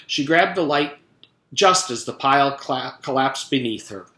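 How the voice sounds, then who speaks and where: A man's voice: medium pitch, expressive, a little slow, bright, forceful tone, echoey room. A man reading a children's storybook aloud like a teacher, expressively and speaking directly to young listeners.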